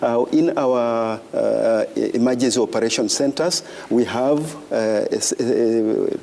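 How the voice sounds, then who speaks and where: A man answering as a panelist at a public event, speaking haltingly with many filler sounds. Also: medium pitch, vocal fry, a little mellow. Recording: echoey hall with faint room noise.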